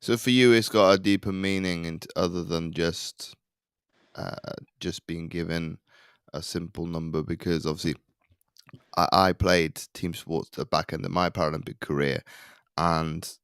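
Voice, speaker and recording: A man's voice: low-pitched, fairly even intonation, normal speed, a bit bright, clear, resonant tone, dry recording, clean studio sound. A man hosting a podcast, asking questions in a rambling, conversational way that drifts into tangents. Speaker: podcast host. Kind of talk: conversational interviewing.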